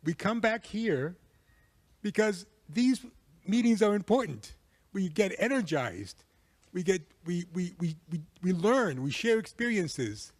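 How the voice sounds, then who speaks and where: A man from Peru giving earnest, measured conference panel remarks as a civil society network chair, with frequent um fillers. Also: high voice, sing-song, no room sound. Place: conference hall.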